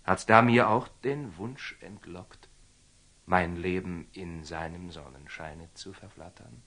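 An actor playing a proud, hot-headed knight in love, in an agitated, self-questioning monologue from a classical radio drama. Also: male voice, deep voice, slow, fairly bright tone, full character voice. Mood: brooding, self-doubting.

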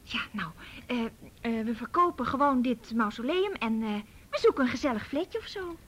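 A woman acting a supportive, halting woman facing money trouble in a naturalistic radio drama. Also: a little high, animated. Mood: encouraging.

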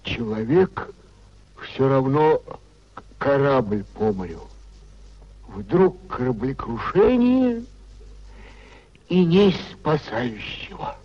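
An actor playing a sanctimonious, fretful old government clerk in a 1950s Soviet radio play, moralizing in a pompous, lamenting manner. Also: male voice, mid-range voice, big pitch swings, slow pace, long pauses. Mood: mournful. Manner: pompous, unctuous, sententious, lamenting. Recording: dull 1950s radio recording.

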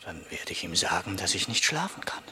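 An actor playing a poor, brooding young surgeon in a German radio play, speaking in a hushed, halting way as he muses to himself. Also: low voice, sing-song, faint music behind, exaggerated voice. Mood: tense scheming.

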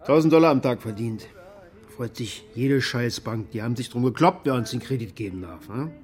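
An actor playing a bitter, middle-aged former security contractor in a naturalistic radio drama, gruff, cynical and colloquial. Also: male voice, mid-range voice, expressive, a little fast, a little mellow, natural voice. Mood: scornful, bitter.

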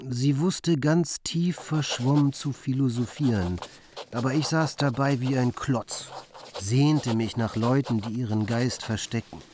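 An actor plays a wry young bohemian telling his story in a German radio play. His voice is of middle pitch and his delivery musing and literary, over faint noise and clicks. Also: a little slow, natural voice. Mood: rueful.

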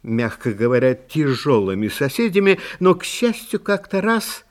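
A man's voice: fairly high pitch, sing-song, moderate pace. A man playing a vain, fragile, sentimental Christmas tree bauble who tells his story in a genteel, self-pitying, theatrical way in a children's fairy-tale audio play. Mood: plaintive.

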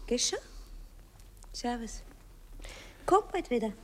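An actress playing a worldly-wise young Viennese streetwalker in a radio play, drawling colloquially and matter-of-factly. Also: female voice, a little high, sing-song, slow, a little hiss or hum. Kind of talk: naturalistic German radio play acting.

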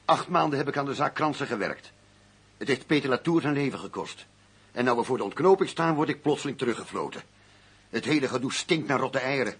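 An actor playing a stubborn, embittered undercover police detective in a radio play, indignant and sardonic in manner. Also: male voice, medium pitch, lively intonation, fairly quick, a bit bright, slightly rough. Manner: indignant, sardonic, plain-spoken. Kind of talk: radio drama acting.

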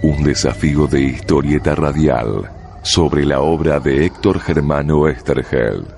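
A man's voice: a bass voice, measured pace, gravelly, darkish tone, soft background music. A man reading scripted radio drama credits as an announcer.

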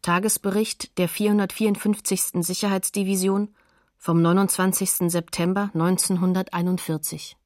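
A woman's voice: fairly low pitch, flat delivery, normal speed, a little mellow, no room sound, clean studio sound. A woman announcing sources in a factual, formal scripted narration for a radio documentary.

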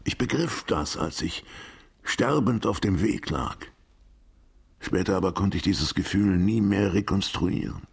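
An actor in a literary radio drama playing a world-weary, fatalistic writer who speaks in a deliberate, formal, philosophical way with a gravelly, husky voice. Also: male voice, deep voice, full character voice. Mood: haunted, intense. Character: world-weary, fatalistic male writer, once left for dead, brooding and enigmatic.

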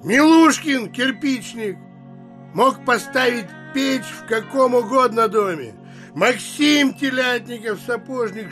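High-pitched, blustering stubborn landowner. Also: male voice, fairly bright tone, heavily acted. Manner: emphatic, blustering, drawn-out boasting. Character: bullish, stubborn provincial landowner haggling and boasting. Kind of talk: theatrical audio drama acting in Russian classic.

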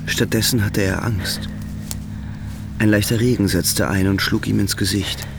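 A man's voice: on the low side, steady tone, unhurried, gravelly, music in the background. A man narrating a radio drama in scripted literary prose.

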